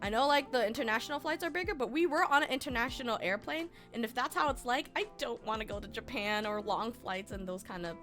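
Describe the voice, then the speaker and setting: A woman's voice: on the high side, quick pace, hardly any pauses. A young woman streamer with a slightly scratchy throat, chatting casually and giggling with her live chat. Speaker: VTuber streamer. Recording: music playing behind.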